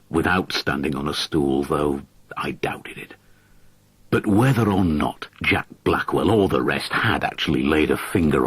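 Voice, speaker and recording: A man reading a haunting story aloud as scripted narration, measured and dramatic, sometimes dropping to a whisper. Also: a bass voice, husky, dry recording. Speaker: audiobook narrator.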